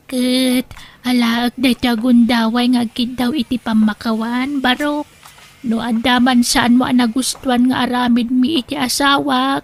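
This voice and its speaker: Woman voicing a pushy Ilocano moneylender. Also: on the high side. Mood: friendly. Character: proud demanding female moneylender, married. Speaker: voice actor. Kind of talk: audio drama acting.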